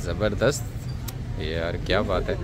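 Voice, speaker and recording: A man's voice: sits low, steady tone, a little slow. A man with a Pakistani Urdu accent chatting with shopkeepers in a busy outdoor market, eagerly repeating prices and exclaiming. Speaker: market vlogger interviewing shopkeepers. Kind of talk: casual street vlog chat. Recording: loud street and traffic noise.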